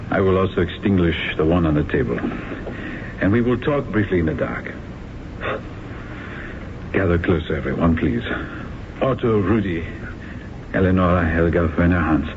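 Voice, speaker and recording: Villain: a suave plotter, low voice, tinny hissy radio. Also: male voice, normal speed, long pauses. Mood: commanding. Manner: smooth, commanding, softly menacing. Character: suave secret society leader, cold and manipulative. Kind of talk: 1970s radio drama acting. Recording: tinny lo-fi radio broadcast with hiss.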